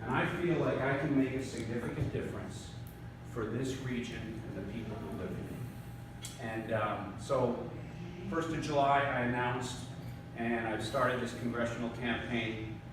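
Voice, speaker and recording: A man's voice: mid-range voice, unhurried, background noise. A man in his fifties from northern New York, a congressional candidate giving a folksy, conversational campaign speech with fillers and repeated words. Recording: echoey room recorded from far off.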